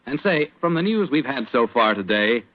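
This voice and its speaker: Male American announcer, mid-pitched, for 1940s radio sponsor spots. Polished, measured, salesmanlike, muffled period broadcast. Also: big pitch swings. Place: radio studio.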